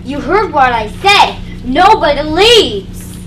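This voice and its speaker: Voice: high voice, very animated, slow pace, fairly bright tone, heavily acted. A young female amateur reader playing a stern, menacing teacher who has turned into a monster, in reader's theatre. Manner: commanding, menacing. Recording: distant mic, noisy room.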